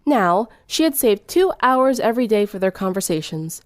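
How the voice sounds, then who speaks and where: Woman, story narration, mid pitch, close and dry recording. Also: very animated, normal speed, no echo. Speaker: fan fiction reader.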